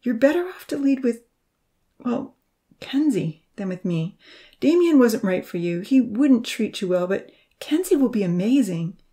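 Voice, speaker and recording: Woman reading as a scarred young guy, gentle but down on himself, voice wobbles and breaks off, higher than her usual. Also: lively intonation. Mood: bitter, tearful. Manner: halting, wavering, tearful. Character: troubled young scarred man, gentle, self-doubting. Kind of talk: storybook character reading.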